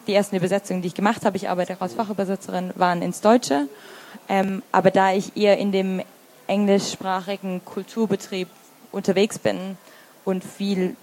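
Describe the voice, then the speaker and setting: A woman's voice: medium pitch, darkish tone, a little hiss or hum, rough recording. A woman in her thirties with a Swabian German accent, answering interview questions as a literary translator, thoughtful with many fillers and restarts. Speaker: literary translator and review editor.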